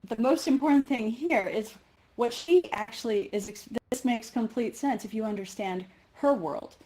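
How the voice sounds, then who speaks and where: A woman's voice: mid-range voice, darkish tone. A woman giving a conference keynote with personal anecdotes, thinking aloud and often rephrasing as she goes. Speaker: keynote speaker.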